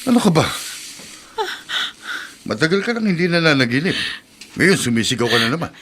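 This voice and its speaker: An irritable Filipino husband, complaining emphatically in a sharp voice. His pitch moves around a lot, in the manner of radio drama, over faint noise. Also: sing-song, a little fast. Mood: irritated. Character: irritable, sleepy husband, matter-of-fact and nagging.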